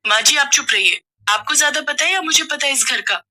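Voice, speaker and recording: A woman's voice: high voice, expressive, fairly quick, pushed and bright, voice pushed up, exaggerated voice. A woman voicing a harsh, dismissive wealthy housewife in a cartoon story. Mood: curt. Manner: curt, dismissive.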